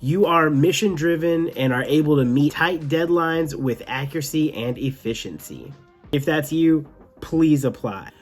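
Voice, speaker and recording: A man's voice: fairly high pitch, animated, a little slow, soft background music. A man hosting a tech news show, giving enthusiastic, partly scripted commentary with some casual asides.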